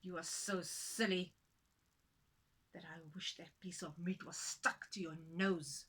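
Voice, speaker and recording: A woman's voice: fairly low pitch, steady tone, slow pace, fairly bright tone, slightly rough, heavily acted. A woman voicing a grumpy, scolding village husband, blunt and emphatic, as a storybook character. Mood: angry.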